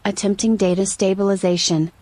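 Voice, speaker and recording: A woman's voice: mid-range voice, flat delivery, brisk, very noisy, lightly acted. A woman voicing an impersonal computer system in an audio drama, giving clipped, formal, emotionless announcements. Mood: impersonal, alerting. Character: impersonal female computer system voice issuing alerts.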